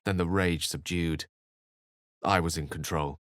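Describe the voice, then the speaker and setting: A man's voice: sits low, steady tone, a little slow, a bit bright, dry recording, clean studio sound. A man playing a refined, eloquent wizard in a video game, courteous and wry, with articulate, formal and theatrical speech. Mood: grave narration. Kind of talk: video game voice lines and narration.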